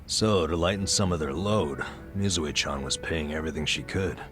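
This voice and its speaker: Very deep, weary burned-out man. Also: moderate pace. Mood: wry, regretful. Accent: American. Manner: wry, self-deprecating, weary. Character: burned-out, self-deprecating former male nurse confiding a past. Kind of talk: video game dub, confessional storytelling. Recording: music behind the voice.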